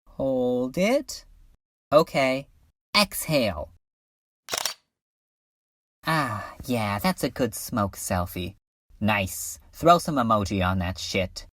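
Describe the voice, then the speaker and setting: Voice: mid-range voice, a bit bright. A woman voicing a laid-back cartoon cat creature in a comedic dub, with a casual, slangy manner. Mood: chill, approving.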